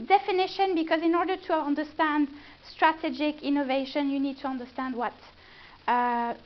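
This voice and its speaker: A woman with a French accent gives a chatty business presentation at a live event and keeps pulling the audience in with questions. Her voice sits very high. The recording is muffled and lo-fi. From France. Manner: chatty, engaging, asks the room questions.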